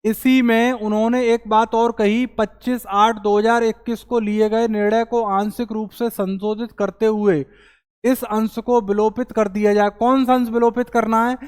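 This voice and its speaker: Guy teacher lecturing students, smooth clean voice, big echoey hall sound, reads stuff out then explains it. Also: sits high, level tone, normal speed, a little mellow. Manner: reads out passages then explains them step by step; poses questions and answers them himself. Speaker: coaching teacher. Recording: long hall reverb on the voice.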